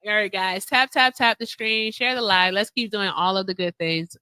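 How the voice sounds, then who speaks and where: Bright-voiced woman hosting a livestream. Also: mid-range voice, normal speed, clean tone, dry recording, rough recording. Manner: teasing, blunt, pushes guests for answers. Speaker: livestream host. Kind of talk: casual live-stream chat.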